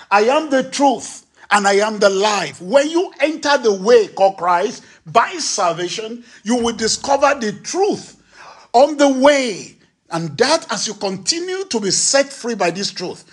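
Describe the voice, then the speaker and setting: High-voiced man preaching emphatically. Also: breathy edge. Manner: emphatic preaching, acts out dialogue with rising pitch. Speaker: pastor. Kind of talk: sermon teaching. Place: church stage with a lectern. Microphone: handheld microphone.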